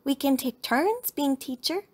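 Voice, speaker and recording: Storybook little girl bear cub, played by a woman: bossy, excitable, high and emphatic. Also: a little slow. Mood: happy, eager. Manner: emphatic, protesting childish delivery.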